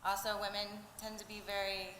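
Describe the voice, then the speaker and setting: A woman's voice: mid-range voice, level tone, fairly quick. A woman fighter giving brief, somewhat hesitant answers at a press conference. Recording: echoey hall, faint noise.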